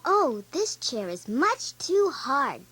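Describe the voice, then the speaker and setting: A woman's voice: sits high, big pitch swings, slow pace, fairly bright tone, pitched above the performer's own voice, heavily acted. A woman voicing a curious, fussy little girl in a children's storybook. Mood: displeased. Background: prominent music.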